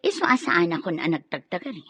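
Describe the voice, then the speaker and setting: An actress playing a stern, scornful wife of the house in a radio drama, scolding and giving orders. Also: female voice, fairly low pitch, very animated, fast, pushed and bright, breathy edge, no room sound, heavily acted. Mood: accusing. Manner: scolding, cutting, commanding.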